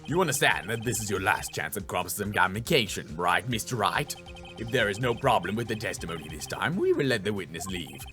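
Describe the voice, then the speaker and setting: A man voicing a stern, formal elderly judge in a video game, speaking slowly and gravely. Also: on the low side, very animated, music in the background, pitched below the performer's own voice.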